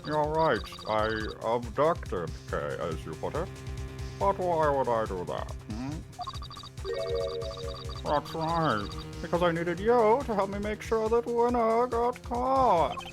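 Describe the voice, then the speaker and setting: A man's voice: fairly high pitch, expressive, takes it slowly. A man playing a mocking, sarcastic kidnapper mastermind in a video game fan dub. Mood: smug. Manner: mocking, sing-song sarcasm. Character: taunting, smug male mastermind, a masked kidnapper on the phone. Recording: music playing under the voice.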